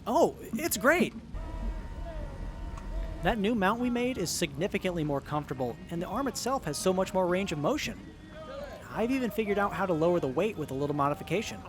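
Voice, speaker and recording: A man's voice: sits high, big pitch swings, a little fast. A man playing a nervous, lovestruck young tinkerer in an audio drama, earnest and often stammering. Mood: excited. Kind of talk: post-apocalyptic audio drama acting.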